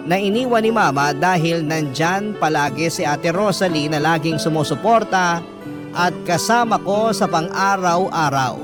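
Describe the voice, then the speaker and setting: Higher-voiced Filipino man narrating over music. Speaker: radio drama narrator reading a listener's letter. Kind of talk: emotive scripted storytelling. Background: guitar and instrumental music.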